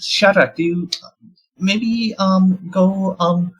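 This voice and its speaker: A man's voice: high-pitched, steady tone, takes it slowly, a bit bright, husky, dull audio, soft background music, voice pushed up, full character voice. A man voicing a nervous young man who stammers and keeps apologizing. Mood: flustered.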